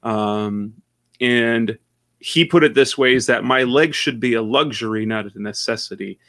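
A man from Arizona, a guest on a podcast, explaining football in long, steady, analytical sentences. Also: mid-range voice, a little slow, no room sound. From Arizona, USA. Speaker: podcast guest, sports site manager. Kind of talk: casual interview answers.

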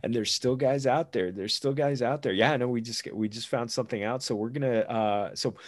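Upbeat male host, casual sports talk, Spanish greetings mixed in, mid pitch. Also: a little fast. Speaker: podcast host. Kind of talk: casual sports podcast hosting.

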